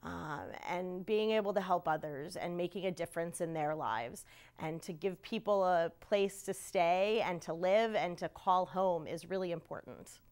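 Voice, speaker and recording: A woman's voice: on the low side, moderate pace, a little mellow, clean tone. A woman working for a builder, speaking sincerely about giving back. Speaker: construction company staffer. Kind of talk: interview testimonial.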